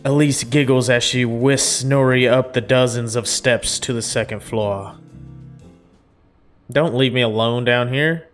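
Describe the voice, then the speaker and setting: A man's voice: medium pitch, steady tone, a little slow. A young man reading a visual novel aloud and reacting to it with informal, slangy commentary. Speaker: gaming video host. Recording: music playing behind.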